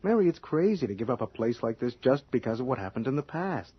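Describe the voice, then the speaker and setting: An actor playing a practical, coaxing but impatient husband in an old-time radio drama, informal in manner. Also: male voice, medium pitch, very animated, fast, breathy edge. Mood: insistent. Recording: muffled, noisy old radio recording.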